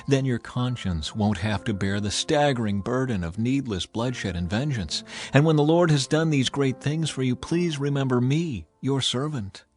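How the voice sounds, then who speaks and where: A man's voice: mid-range voice, normal speed, soft background music, close to an everyday voice. Man reading as Abigail, a humble pleading woman. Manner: earnest, pleading.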